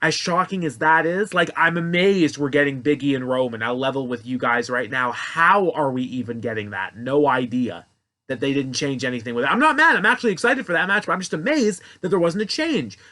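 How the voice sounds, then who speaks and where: Bright-voiced young man giving offhand predictions. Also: fairly high pitch, expressive, brisk. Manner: rambling, offhand, self-correcting. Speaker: wrestling prediction vlogger. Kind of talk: casual solo commentary.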